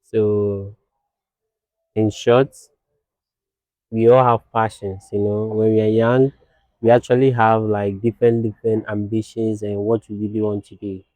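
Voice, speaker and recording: A young man from Ghana, born in Nigeria, a fashion designer speaking as a guest in a casual interview, soft-spoken and reflective. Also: on the low side, little pitch movement, unhurried, pauses often, no room sound, narrow, tinny audio.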